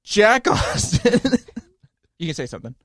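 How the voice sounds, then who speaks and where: A man's voice: high-pitched, big pitch swings, measured pace, fairly bright tone, no echo. Male podcast host, goofy banter, dry close studio mic. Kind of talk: casual chat. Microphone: studio mic with headphones.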